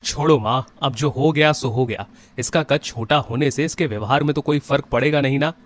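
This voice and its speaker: A man voicing a kind young husband in a story, reasoning in a calm, reassuring way. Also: medium pitch, brisk, close to an everyday voice.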